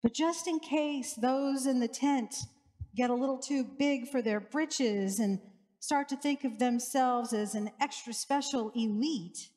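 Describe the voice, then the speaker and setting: A woman in her forties, a pastor, preaching a sermon in a slow, measured way with rhythmic repetition, on a headset mic in a church sanctuary. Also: fairly high pitch, a little mellow. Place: church sanctuary with large lake-view windows. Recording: reverberant church hall.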